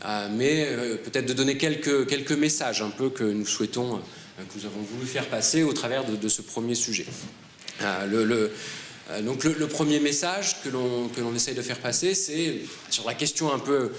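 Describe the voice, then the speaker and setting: Guy talking slow and halting at a panel, lots of euh, echoey hall. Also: medium pitch. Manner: hesitant, many fillers and restarts. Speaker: award-winning author. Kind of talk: panel presentation. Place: conference hall. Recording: roomy hall with faint noise.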